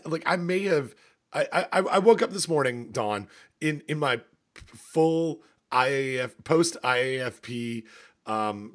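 A man with a gravelly, slightly hoarse voice, rambling and cutting himself off in a casual podcast chat. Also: medium pitch, fairly bright tone. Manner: rambling, self-interrupting. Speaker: podcast co-host, university academic.

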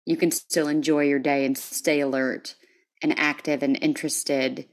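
A woman's voice: sits low, flat delivery, unhurried. A woman hosting a podcast, speaking casually and chattily in a conversational interview. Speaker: podcast host.

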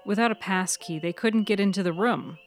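A woman reading a serial fiction story as its narrator, in measured, clearly phrased storytelling. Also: mid-range voice, soft background music. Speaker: author and narrator of a fiction podcast. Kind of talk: scripted audiobook-style story narration.

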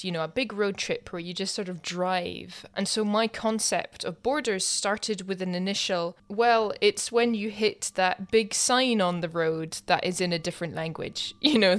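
A woman hosting a podcast in a chatty, conversational way, laughing easily. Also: mid-range voice. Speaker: podcast host.

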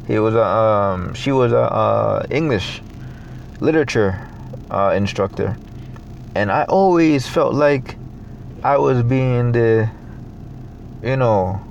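A young adult man from Jamaica with a Jamaican accent, talking in a reflective, halting way with many fillers as a guest in a casual interview. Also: on the low side, gravelly, highs cut off, slight background noise.

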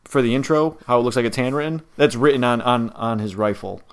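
A man's voice: medium pitch, clear, resonant tone. A man with a slight Wisconsin accent, co-hosting a podcast in casual chat with many restarts. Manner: conversational, halting with restarts. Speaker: podcast co-host.

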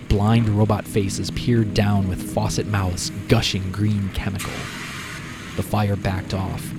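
A man narrating a scripted short story as audio drama, with deliberate, dramatic phrasing. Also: on the low side. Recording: prominent music behind the narration.

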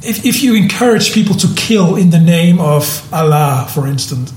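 A man from Denmark with a notably high voice, chatting casually and stumbling through frequent restarts and repeated words. His voice bounces around a roomy, echoing space. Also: lively intonation, normal speed, darkish tone. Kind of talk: casual podcast conversation. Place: room in southern Germany.

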